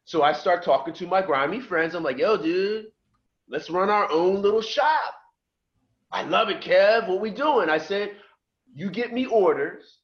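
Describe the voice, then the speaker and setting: Animated middle-aged man telling stories. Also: high-pitched, measured pace, lo-fi sound. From from just outside Philadelphia. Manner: lively storyteller who acts out quoted voices. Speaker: podcast guest. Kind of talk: casual storytelling.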